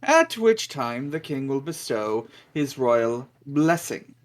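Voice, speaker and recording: A man's voice: medium pitch, big pitch swings, slow. A man voicing a pompous royal page who proclaims a summons at a table read. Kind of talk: table read of a film script.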